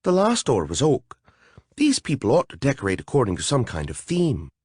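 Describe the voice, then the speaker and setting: A man voicing a vain, petulant tiny female fairy with a whiny, high, squealing voice. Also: huge pitch swings, normal speed, darkish tone, husky, no room sound, studio quality. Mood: disdainful.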